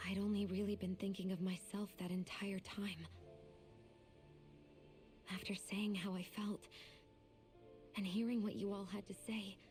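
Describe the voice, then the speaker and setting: A woman's voice: medium pitch, darkish tone, close to an everyday voice. An actress playing a guarded but vulnerable young woman in a fantasy video game, speaking sincerely and confessionally. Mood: quietly resolute. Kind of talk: video game English voice acting. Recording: music behind the voice. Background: game music.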